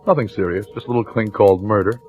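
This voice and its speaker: A man's voice: on the low side, rapid-fire, over music, close to an everyday voice. A man playing a sarcastic, bossy police lieutenant in a 1940s radio crime drama, clipped and commanding. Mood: dry. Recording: muffled vintage radio recording, narrow phone-like band.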